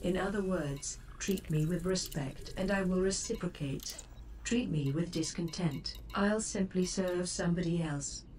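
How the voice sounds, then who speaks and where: A woman voicing a self-aware female ship computer in a sci-fi game, polite and superior, speaking in formal, measured, articulate sentences. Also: on the low side, a little mellow, natural voice. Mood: cool, proud. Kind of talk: scripted game voice assistant lines. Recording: distant, with faint background noise.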